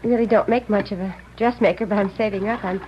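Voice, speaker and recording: Actress doing a worried 30s seamstress, hesitant, stammery, old radio drama sound, a bit dull and hissy. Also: female voice, medium pitch, fairly even intonation, quick pace, close to an everyday voice. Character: anxious devoted seamstress woman, thirties. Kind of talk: 1950s radio drama acting. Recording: muffled old radio broadcast with hiss.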